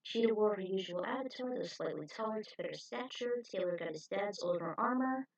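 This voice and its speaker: A woman's voice: medium pitch, animated, a little fast, dull audio. A woman reading her own fan fiction story aloud as its author and narrator.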